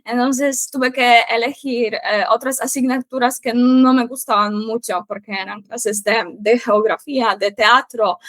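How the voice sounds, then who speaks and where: Slow, halting young woman with a Polish accent. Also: a little high, steady tone, a bit bright, dry recording. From Poland. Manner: halting, pausing often to search for words. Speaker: guest, Spanish teacher. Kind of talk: casual interview answers.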